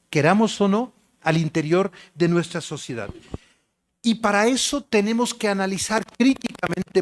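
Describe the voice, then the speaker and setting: A man with a rather high voice lecturing in a measured, emphatic way. He calls for the next slide as he goes and stacks up examples in repeated lists. Middle-aged and academic in tone.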